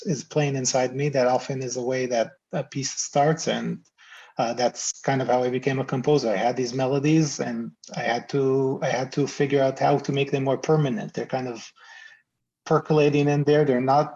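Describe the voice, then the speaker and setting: A composer from the United States speaking reflectively on a video call, searching for words. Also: male voice, medium pitch, gravelly, darkish tone. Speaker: composer guest. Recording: dull lo-fi call audio.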